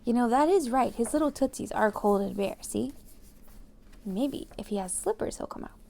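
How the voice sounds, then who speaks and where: Woman doing kids storytime, high soft-edged mellow voice, expressive, asks the kids questions. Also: moderate pace, gravelly, slight background noise. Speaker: host of children's read-aloud show. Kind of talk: storybook narration with asides to children.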